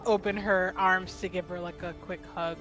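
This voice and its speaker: A woman's voice: lowish voice, flat delivery, normal speed, fairly bright tone, soft background music. A woman playing a tabletop roleplaying game, giggly, speaking both as narrator and as her character. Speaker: player.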